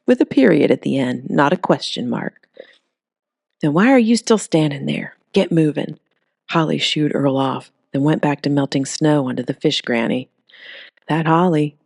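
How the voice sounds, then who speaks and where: A woman reads a creature story aloud in an even, steady voice. Her pitch sits low for a woman. The recording is close and dry, with no room sound. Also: creaky, no echo. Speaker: audiobook narrator. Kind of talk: scripted storybook narration.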